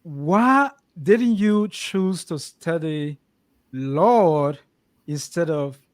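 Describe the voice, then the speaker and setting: Higher-voiced man from Africa, interview host. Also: lively intonation, very slow, no room sound, clean studio sound. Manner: affirming, repeats words for emphasis. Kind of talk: casual conversational interview.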